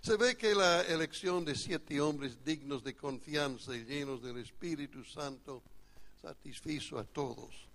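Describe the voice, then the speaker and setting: A male preacher with a gravelly, fry-tinged voice, delivering a sermon with an American accent. His voice sits a little high for a man, and he explains things in a measured, teaching manner at a church retreat. English is his first language. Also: fairly bright tone.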